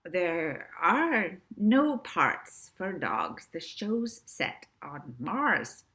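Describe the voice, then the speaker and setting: A woman's voice: on the low side, very animated, very slow, pushed and bright, heavily acted. A woman voicing a glamorous, haughty New York theatre executive woman with a drawling manner in a storybook. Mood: dazed.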